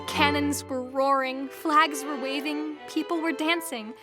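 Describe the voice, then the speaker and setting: A woman's voice: sits high, steady tone, unhurried. A woman narrator reading a scripted fairy tale for an audio drama. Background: music.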